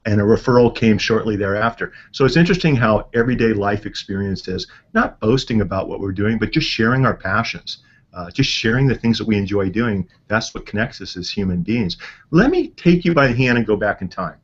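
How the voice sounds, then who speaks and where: Lower-voiced man hosting over a call. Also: animated, fairly quick, dull audio. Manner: chatty, tells anecdotes. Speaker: interview host. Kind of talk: conversational interview.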